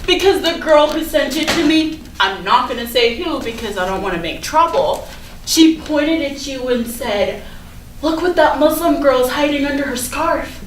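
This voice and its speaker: A woman's voice: sits high, animated, moderate pace. A woman playing a popular, defensive teenage schoolgirl in a staged play reading, with chatty, casual, hedging delivery. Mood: confiding, self-excusing. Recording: echoey room from far off, noisy.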